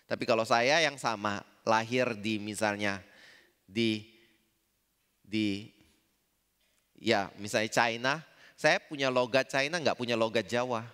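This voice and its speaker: A man with a Javanese accent preaches a sermon in a conversational way, often asking the congregation questions. His voice is bright and smooth. The sound carries a long reverb from a large church hall. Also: lowish voice, steady tone, unhurried, long pauses. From Indonesia. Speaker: pastor.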